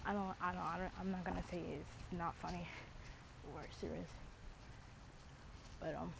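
A woman's voice: on the low side, steady tone, normal speed. A young woman chatting casually on a stream, rambling with lots of fillers and slang like bro and dude. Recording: dull lo-fi mic with clear background noise.

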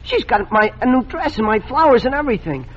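An actor playing an excitable teenage boy who pleads and whines, in 1940s radio acting. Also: very high-pitched, fast talker, slight background noise. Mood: pleading. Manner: pleading, youthful. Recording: muffled old radio broadcast.

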